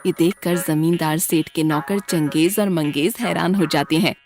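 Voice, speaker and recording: A woman's voice: low-pitched, fairly even intonation, normal speed, darkish tone, soft background music. A woman narrating a scripted children's story as the storyteller.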